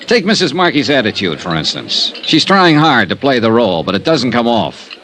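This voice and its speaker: An actor playing a dogged insurance investigator in an old-time radio drama, American, assertive and wry. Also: male voice, mid-range voice, big pitch swings, moderate pace, a bit bright, slight background noise. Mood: insistent. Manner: assertive, wry, hard-boiled. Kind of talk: old-time radio detective acting and first-person narration.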